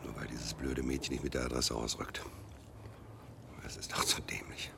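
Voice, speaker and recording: Very deep, gravelly private detective. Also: male voice, fairly even intonation, normal speed, bright, forceful tone, slight background noise, full character voice. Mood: grumbling. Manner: dry, officious, stilted phrasing. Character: shabby, pedantic one-man private detective, evasive and grumbling. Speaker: actor. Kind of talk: German radio drama acting.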